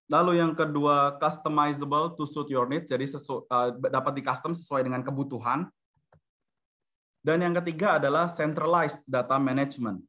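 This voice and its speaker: Man, Indonesian accent, fairly high bright voice, explanatory webinar presenter, semi-formal corporate pitch, English business terms mixed in. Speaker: webinar presenter for a software company. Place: online video-conference webinar.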